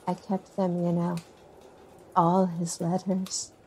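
A woman's voice: fairly low pitch, monotone, takes it slowly, darkish tone, a little hiss or hum. An actress playing a candid, motherly woman, the niece of an author, chatting naturally in an audio drama interview. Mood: candid, reflective. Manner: conversational, candid.

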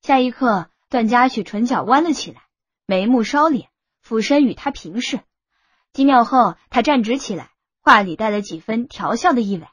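A woman's voice: fairly high pitch, lively intonation, moderate pace, husky, no echo. A woman reading a novel aloud as an audiobook narrator in scripted style. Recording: music bed under the voice.

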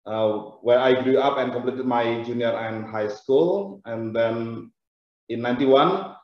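A man in his forties with an Indonesian accent gives a guest lecture in a careful, halting way, often pausing on "uh". His voice sits at an ordinary pitch. It comes through a dull, muffled video call line from a strongly echoing room. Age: 40s. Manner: careful, halting, with frequent "uh" pauses. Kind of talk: webinar presentation.

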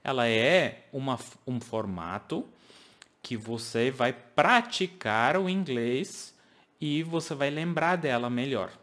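A man's voice: medium pitch, expressive, slow pace, a bit bright. Foreign-accented man teaching to webcam. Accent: English-speaking foreigner speaking Portuguese. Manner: earnest, explaining with hand gestures, makes grammar slips. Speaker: English teacher. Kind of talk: casual video lesson to camera. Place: home office with shelves.

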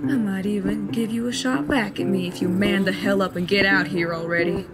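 A bullying Western gang boss played by a woman, barking and cursing with vengeful swagger. It sounds like an audio drama, with loud guitar music underneath. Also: mid-range voice, fairly even intonation, fairly quick, close to an everyday voice. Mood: menacing, gloating.

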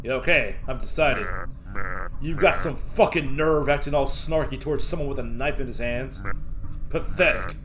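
A man's voice: mid-range voice, moderate pace, a crowd in the background, natural voice. A man reading a menacing, condescending ram serial killer from a visual novel in a conversational, threatening way. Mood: contemptuous, irritated. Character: menacing, snarky male ram serial killer interrogating captive.

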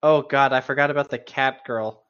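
A man's voice: medium pitch, fairly even intonation, moderate pace, fairly bright tone, smooth. A man with a mid-range voice chats casually as a co-host, tossing out wisecracks and swearing. The recording is a little dull and lo-fi. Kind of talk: casual joking chat.

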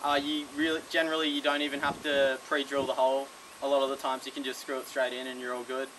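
A young Australian man, a kayak fishing vlogger, giving casual tips to the camera from an outdoor veranda, relaxed and chatty with the odd restart. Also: medium pitch, fast talker, slight background noise, poor audio. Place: under a covered veranda outdoors.

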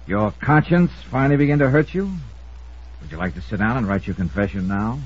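An American man acting a brisk, commanding, hard-boiled investigator in an old-time radio detective drama, also narrating it. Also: lowish voice, slightly rough. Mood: accusing, forceful. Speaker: lead actor and narrator of a radio detective serial. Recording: muffled old radio recording.

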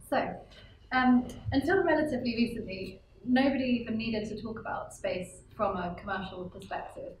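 A woman in her thirties giving an explanatory talk on a panel, speaking clearly with frequent ums. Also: medium pitch. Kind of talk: expert presentation. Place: conference room with large windows. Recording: echoey room, distant mic, faint hiss.

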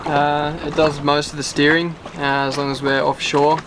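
Relaxed man touring his sailboat. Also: fairly high pitch, normal speed, background noise. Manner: relaxed, explanatory, many fillers. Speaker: sailing vlog host. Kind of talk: casual boat tour walkthrough. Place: outdoors on a sailboat deck.

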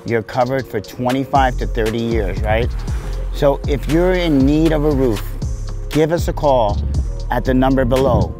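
A man's voice: mid-range voice, measured pace. A man in his forties hosting a casual roofing vlog on site, upbeat and salesy, talking to viewers as "guys" while standing on a house roof. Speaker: roofing contractor and channel host. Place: outdoors on a house roof and front yard. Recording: background music under the voice.

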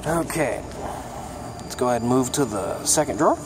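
A young mechanic casually showing his toolbox, talking in a relaxed, plainspoken way with plenty of ums and uhs. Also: mid-range voice, moderate pace. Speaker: mechanic giving a toolbox tour. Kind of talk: casual show-and-tell vlog. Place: auto repair shop, indoors. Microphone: phone held in hand, filming first-person. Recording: shop noise with air conditioning hum.

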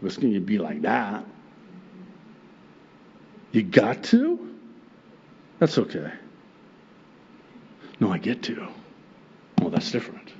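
A middle-aged man whose first language is Arabic, a pastor preaching a sermon from a pulpit microphone on a church stage, animated and chuckling often as he acts out God's replies. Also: lowish voice, slow, long pauses, lo-fi sound, slight background noise. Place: church stage with wood pulpit and plants, indoors.

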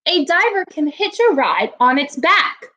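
A woman in her twenties, a teacher giving a read-aloud lesson to young children in a deliberate, instructive way. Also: sits high, very animated, sharp tone. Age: 20s. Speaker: elementary school teacher. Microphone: laptop webcam at arm's length.

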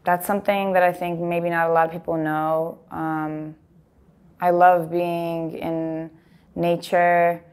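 A woman's voice: on the low side, little pitch movement, takes it slowly, darkish tone, clean tone. A young adult woman from the United States, a musician answering interview questions in an earnest, reflective way. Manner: earnest, reflective, talks with her hands. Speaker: musician guest. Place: studio with blue backdrop, indoors.

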